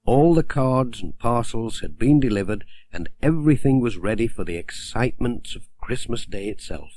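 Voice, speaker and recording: A man narrating a children's story as an audiobook reader, in a gentle, expressive storytelling manner. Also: mid-range voice, normal speed, no echo.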